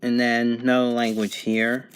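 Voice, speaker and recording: A man giving a casual, unscripted product review at home, thinking aloud in halting phrases. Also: mid-range voice, monotone, clean tone. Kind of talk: casual unboxing and review narration. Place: home bedroom, indoors.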